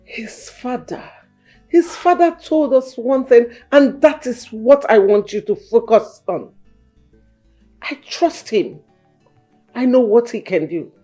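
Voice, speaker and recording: An actress playing a firm, scheming, middle-aged mother in a film drama, measured and insistent. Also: on the high side, expressive, warm tone, faint music behind. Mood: firm, reassuring.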